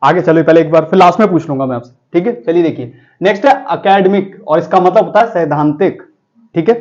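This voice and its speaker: A man's voice: a little high, fast, a little mellow, lo-fi sound. A man teaching vocabulary to students in a chatty, joking classroom style, often calling them children and friends.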